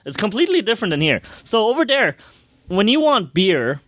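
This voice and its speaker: A man's voice: high-pitched, sing-song, a little fast, a bit bright, no room sound. A man co-hosting a podcast, telling stories casually with emphatic, repetitive phrasing. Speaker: podcast co-host. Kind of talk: casual storytelling.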